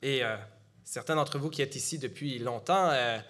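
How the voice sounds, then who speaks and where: A man's voice: mid-range voice, moderate pace, fairly bright tone. A middle-aged man from Gatineau with a Quebec French accent, preaching a sermon in a conversational way with frequent fillers and restarts. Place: church.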